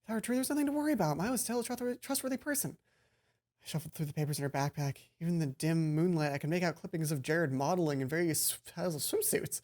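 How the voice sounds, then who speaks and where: A woman let's player reading a visual novel aloud expressively, now and then stumbling and repeating a line. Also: fairly low pitch. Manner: expressive, stumbles and rereads lines.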